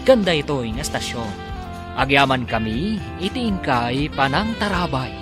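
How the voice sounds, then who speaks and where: A man announcing a radio drama series, formal and measured in his scripted delivery. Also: medium pitch, lively intonation. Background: music.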